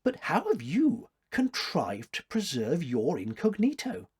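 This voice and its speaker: A man's voice: on the high side, huge pitch swings, a little slow, a little mellow, husky, voice pushed up, full character voice. A man voicing a stately older woman in a storybook, blunt and teasing, with formal, arch wit. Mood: amused, stately.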